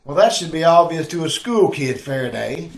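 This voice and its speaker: A cocky amateur detective played by a man talks in a high, roomy-sounding voice. He is glib and bantering, in old-time radio drama style. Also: animated, normal speed, creaky. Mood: defensive, explaining. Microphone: video call. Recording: roomy, echoing video-call audio.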